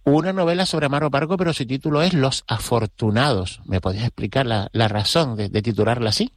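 A male radio interviewer with a mid-pitched voice asks brief, polite questions. He adds short acknowledgements between answers. The room sound is dry and close. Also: fairly quick, dry recording.

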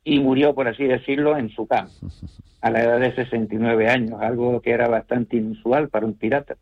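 A man, a novelist from the Canary Islands, answering radio interview questions in a measured, thoughtful way with frequent hesitations and tag questions. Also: medium pitch, steady tone. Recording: muffled radio broadcast.

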